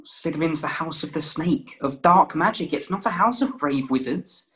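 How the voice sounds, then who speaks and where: A man's voice: a little high, normal speed, a bit bright, slight background noise, lightly acted. A young actor playing an anxious eleven-year-old boy with a hesitant, worried way of talking, in a stage play read on video call. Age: young adult. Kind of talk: stage drama table read. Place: small room at home, video call.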